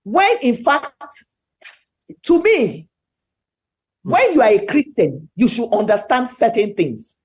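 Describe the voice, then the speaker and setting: Animated Nigerian woman speaking emphatically. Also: on the high side, slow pace, long pauses. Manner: emphatic, preachy, repeats words for stress. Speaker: webinar speaker. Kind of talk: impassioned talk.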